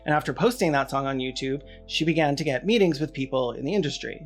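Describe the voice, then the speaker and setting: A man's voice: on the high side, fast talker, soft background music. A man hosting a scripted video essay, delivering commentary with sarcastic, wry asides.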